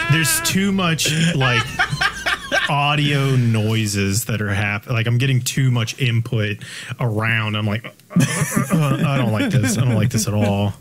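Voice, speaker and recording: Animated gamer man over music. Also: medium pitch. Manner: muttering, sweary reactions to play. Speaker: gaming show co-host playing the game. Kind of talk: casual gameplay chat. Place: indoor streaming room.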